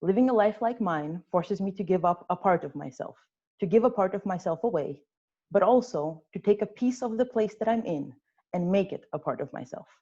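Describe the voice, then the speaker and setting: A woman's voice: medium pitch, expressive, brisk, mellow, breathy edge. A woman in her twenties giving a prepared personal speech at a club meeting, earnest with touches of dry humor. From born in the United States, grew up in Ukraine, Austria and Russia. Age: 20s. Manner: earnest, lightly humorous storytelling. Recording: dull lo-fi sound.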